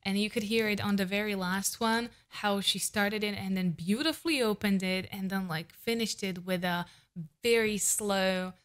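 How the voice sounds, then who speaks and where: A woman in her thirties, a vocal coach, reacting to a song in an excited, gushing way with lots of "uh" fillers, speaking English as a non-native speaker, close to a studio mic at home. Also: medium pitch, moderate pace. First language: not English. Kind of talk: casual reaction commentary. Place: home room with shelves, indoors. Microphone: large studio condenser mic close up.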